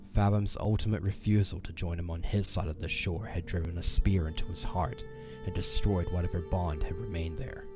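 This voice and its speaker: A man giving a dramatic scripted narration in a solemn, measured way. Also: deep voice.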